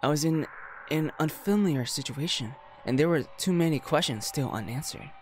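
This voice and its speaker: A man's voice: fairly high pitch, moderate pace, slight background noise. A man reading a visual novel aloud as a streamer, breaking off for slangy, jokey reactions. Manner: slangy, jokey asides between read lines. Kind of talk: reading game text aloud with casual reaction commentary. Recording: music behind the voice. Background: music.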